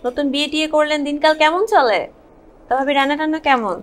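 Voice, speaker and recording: A woman as a high-voiced teasing office colleague. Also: quick pace, close to an everyday voice. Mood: teasing, chatty. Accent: Bangladeshi. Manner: teasing, inquisitive. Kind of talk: natural TV drama acting.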